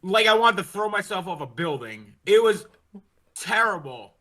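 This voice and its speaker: A man's voice: sits high, sing-song, moderate pace, a bit bright. A man hosting a casual sports podcast, ranting in an exasperated way and swearing heavily. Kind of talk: casual hockey fan chat.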